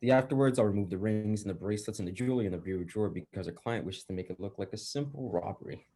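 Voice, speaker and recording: Guy acting radio drama hitman, deep dark husky voice, eager, keeps saying things twice to reassure. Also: rapid-fire. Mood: casually reassuring. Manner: repeats himself reassuringly. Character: dim, eager hired killer, casually reassuring crook.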